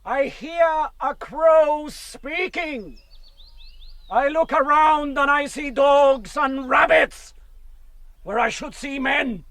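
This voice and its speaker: An actor playing a proud, vengeful warrior chief in a radio drama, menacing and declamatory with drawn-out words. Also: male voice, very high voice, a bit bright, no echo, full character voice.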